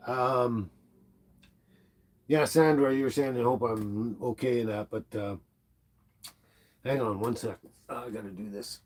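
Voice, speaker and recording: A man's voice: mid-range voice, measured pace, long pauses. A middle-aged man with a stuffy nose, hosting a casual livestream from home and chatting with viewers by name. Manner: rambling, relaxed, talks to viewers by name. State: stuffy from the flu. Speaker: livestream host. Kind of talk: casual livestream chat reading comments. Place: at home indoors. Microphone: laptop microphone.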